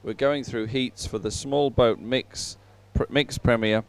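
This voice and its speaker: A man giving unhurried live sports commentary, filling time between races in halting phrases with frequent pauses. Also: medium pitch, steady tone.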